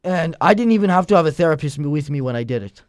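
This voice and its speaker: A young man interviewing a guest with deadpan, mocking humor. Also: a little high, rapid-fire, clean tone, dry recording, studio quality. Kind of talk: comedic confrontational interview.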